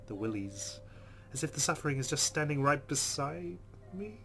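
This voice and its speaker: A man playing a game, reading its text aloud dramatically and reacting in a jumpy, expressive way. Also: fairly high pitch, moderate pace, gravelly, a little hiss or hum. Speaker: let's player. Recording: loud game sound behind voice. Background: game audio.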